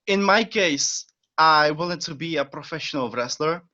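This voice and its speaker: A man's voice: on the high side, normal speed, sharp tone. Eager Czech-accented young man, lo-fi. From Czech Republic. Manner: eager, with frequent fillers and short laughs. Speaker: podcast guest. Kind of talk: casual interview chat. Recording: dull lo-fi sound.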